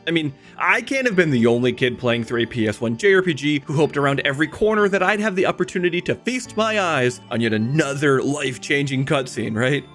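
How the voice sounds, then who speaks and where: A man in his thirties narrating a scripted video essay in an emphatic, wry way with dramatic pauses. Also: on the high side, animated, fairly bright tone. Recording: music behind the voice. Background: background music.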